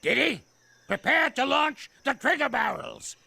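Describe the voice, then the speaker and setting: An actor voicing a grumpy old male ape in a cartoon, with a creaky, gravelly old voice and a scolding, sarcastic way of talking. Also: very high-pitched, sing-song, unhurried, sharp tone, slight background noise, heavily acted. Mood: grouchy. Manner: scolding, sarcastic, barks insults. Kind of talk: cartoon voice acting. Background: music.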